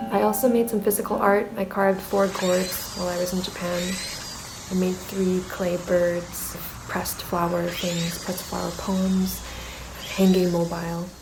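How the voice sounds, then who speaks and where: A woman's voice: medium pitch, poor audio. A woman whose native language is Mandarin, speaking in a reflective, self-conscious and hesitant video diary as an artist vlogger.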